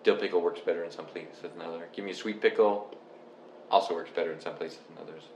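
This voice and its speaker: A young man from Canada chatting casually and explaining things step by step in a relaxed, rambling way. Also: sits low, fast, lo-fi sound. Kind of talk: casual chat. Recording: echoey room with faint noise.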